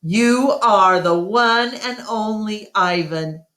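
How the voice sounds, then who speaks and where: A woman's voice: mid-range voice, slow, fairly bright tone, pitched above the performer's own voice. A woman voicing a cheeky, breezy, wisecracking little dog in a storybook read-aloud. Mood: fond. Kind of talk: storybook character voice. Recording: echoey room.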